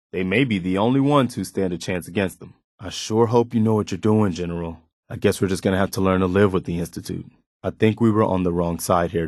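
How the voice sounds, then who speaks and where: An actor playing an earnest, principled militia companion in a post-apocalyptic video game, sincere and plainspoken with folksy turns of phrase. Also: male voice, low-pitched, a little mellow, no echo, studio quality, natural voice. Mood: disapproving, resigned.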